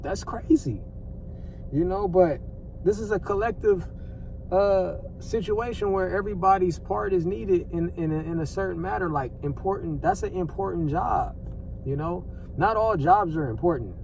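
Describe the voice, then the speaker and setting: An American man with a slightly higher-than-average voice talks off the cuff like a vlogger commenting on the news. He is conversational and repetitive, using slang and swearing freely. The recording is a little distant, with faint background noise. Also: normal speed, vocal fry, darkish tone.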